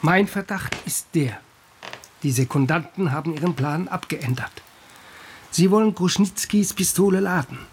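An actor playing an earnest, wary doctor and confidant with a husky voice, grave and deliberate, in a radio drama. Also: male voice, fairly high pitch, noisy background, close to an everyday voice. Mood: concerned, conspiratorial.

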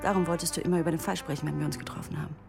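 A proud young policewoman in a radio drama. Her low voice moves quickly, curt and confrontational, with prominent music behind it. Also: fairly even intonation, gravelly. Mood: bitter, angry. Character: sharp, proud young female police employee, easily angered.

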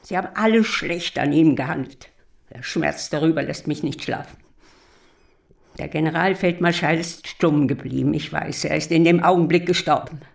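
An actress playing a proud old German countess in a radio drama, gravelly, formal and indignant, grieving for her monarchy. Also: deep voice, moderate pace. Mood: bitter, grieving. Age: older.

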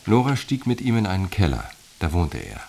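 An actor playing a matter-of-fact storyteller, narrating a literary radio drama. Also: male voice, low voice, wildly swooping pitch, fairly quick, gravelly, mellow, slight background noise, exaggerated voice.

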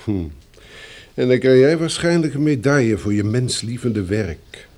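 A man's voice: medium pitch, animated, a little slow, darkish tone, close to an everyday voice. A man acting in a Dutch radio play as a bossy, hard gang leader who gives curt orders. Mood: brisk, stern. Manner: curt, commanding.

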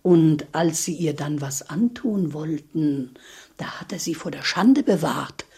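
Husky, gossipy village woman. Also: low voice, lively intonation, unhurried, dark tone, exaggerated voice. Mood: fervent, gossipy. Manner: gossipy, emphatic, insistent storytelling. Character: talkative village woman, fervent admirer of a reformed robber. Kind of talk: German radio drama acting.

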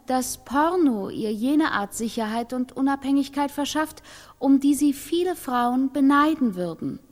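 An actress in a radio play, playing a woman who delivers a careful, measured testimony as if prompted, in a reverberant space. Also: high-pitched. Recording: long reverberant hall.

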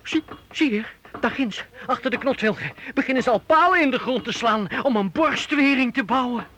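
An actor playing a timid, slow-witted soldier who stammers anxiously and deferentially to his knight in a theatrical radio drama. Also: very high voice, pushed and bright. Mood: worried. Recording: old radio recording with faint noise.

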